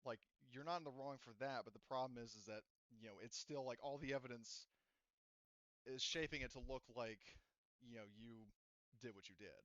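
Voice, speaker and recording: A man talking casually as a game master, explaining things in a halting, repetitive way to players. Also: medium pitch, fairly bright tone, no room sound, lo-fi sound. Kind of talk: casual unscripted discussion of a tabletop roleplaying game.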